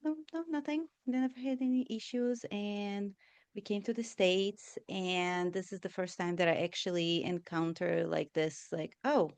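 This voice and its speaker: Woman in her 30s from israel, smooth clear voice, dry close sound, chatty with lots of likes and ums. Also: medium pitch, normal speed, no echo. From Israel, born in Russia. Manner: animated, acts out her past self in quotes, many fillers. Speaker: podcast guest, forest therapy guide. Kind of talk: personal storytelling interview.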